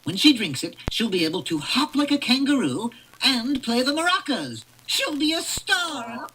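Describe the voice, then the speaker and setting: A woman's voice: sits high, sing-song, moderate pace, a bit bright, recorded from a distance, slight background noise, poor audio. A woman voicing an eager male puppet scientist in a storybook read-along, explaining his inventions earnestly.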